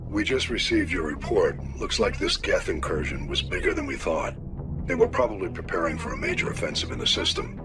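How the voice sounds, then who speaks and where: An actor voicing a gruff senior admiral giving a clipped military report. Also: male voice, sits low, wildly swooping pitch, brisk, over music. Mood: commanding.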